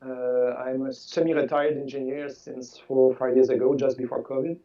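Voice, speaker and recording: A man in his sixties whose first language is French speaks in a relaxed, halting way, often repeating words as he talks about his work. His voice is mellow and dark. It sounds dull and lo-fi, as if he sits some way back from the mic on a video call. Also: mid-range voice, far from the mic. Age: 60s. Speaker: game designer guest. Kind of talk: casual interview chat.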